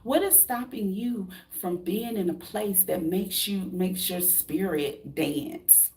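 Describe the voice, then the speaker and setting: A woman's voice: mid-range voice, takes it slowly, darkish tone. A woman in her late thirties, from the United States, giving a blunt, preachy motivational talk to camera, full of rhetorical questions and casual swearing. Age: 30s. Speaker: vlogger.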